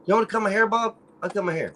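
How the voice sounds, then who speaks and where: A man with a low, groaning voice and a Spanish-influenced accent, chatting casually and swearing a lot. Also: racing, pushed and bright, slight background noise. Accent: Spanish-influenced English. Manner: laid-back, swears a lot. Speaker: stream guest. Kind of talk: casual crude banter.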